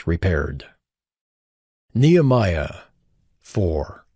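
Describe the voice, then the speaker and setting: Expressive solemn male scripture narrator. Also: deep voice, drawn-out words, slightly rough, dry recording, studio quality. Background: background music.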